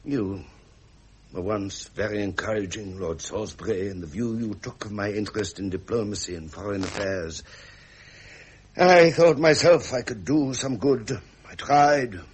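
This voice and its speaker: Proud middle-aged british royal, posh english, low husky voice, formal and frustrated, period drama. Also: male voice, moderate pace, a little mellow, rough recording. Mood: weary, frustrated. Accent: upper-class English. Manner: formal, imperious. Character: frustrated, proud Prince of Wales, nearly fifty.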